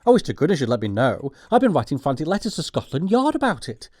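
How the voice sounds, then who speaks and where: A young upper-class English gentleman in a stage comedy. He sounds proper and easily flustered, his slightly high voice rising and falling emphatically with indignation. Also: huge pitch swings, quick pace, breathy edge, heavily acted. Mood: flustered, indignant. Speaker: actor in an audiobook production.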